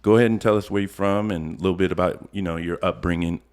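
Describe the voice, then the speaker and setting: A middle-aged man hosting an interview podcast, talking in a relaxed, friendly way full of street slang. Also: deep voice, fast. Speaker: podcast host. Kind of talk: casual interview chat.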